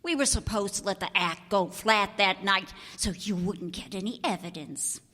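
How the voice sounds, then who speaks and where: A woman's voice: medium pitch, moderate pace, bright, forceful tone, in a large hall. A woman acting a brassy, defiant con artist in an old-time radio detective drama, slangy and biting. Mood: gloating. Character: brassy, scornful female con artist and palm reader.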